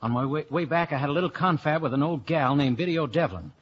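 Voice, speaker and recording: An actor playing an earnest, breezy young American investigator in a radio drama, with an American accent. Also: male voice, fairly high pitch, lively intonation, fast talker, slightly rough. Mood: brisk, determined. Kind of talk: 1950s radio drama acting and narration. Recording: dull old radio recording, music behind.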